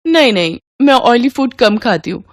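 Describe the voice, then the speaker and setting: A woman's voice: a little high, animated, normal speed, no room sound, clean studio sound, natural voice. A woman voicing a snobbish middle-aged mother who lectures critically in a dramatized moral story. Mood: disapproving. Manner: disdainful, lecturing.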